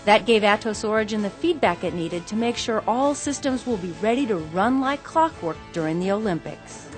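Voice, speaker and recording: Brief: female news reporter, animated pitch, clear measured voice-over, music underneath. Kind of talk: scripted news narration.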